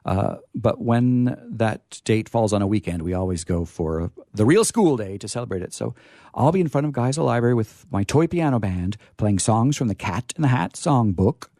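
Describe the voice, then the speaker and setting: Male host for live radio chat: very expressive pitch, discursive and a touch theatrical, in a dry studio sound. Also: moderate pace, dry recording. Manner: rambling, playfully theatrical. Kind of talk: chatty live radio talk.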